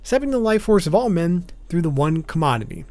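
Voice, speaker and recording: A man's voice: a little high, sing-song, fairly quick, a little mellow, no room sound. A man narrating informal scripted video commentary in a casual way, with wry asides. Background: background music.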